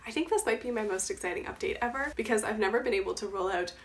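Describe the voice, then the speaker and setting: A woman's voice: medium pitch, a little hiss or hum. A young woman with a cold-stuffed, nasal voice, chatting casually about her makeup as a beauty vlogger. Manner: chatty, quick, self-correcting.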